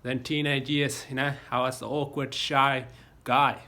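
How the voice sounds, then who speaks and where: Bright-voiced Australian man rambling to his phone. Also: medium pitch, little pitch movement, a little slow. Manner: rambling, folksy advice with many fillers and restarts. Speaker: vlogger. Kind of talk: casual rambling monologue to a phone camera. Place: home gym. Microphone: phone camera.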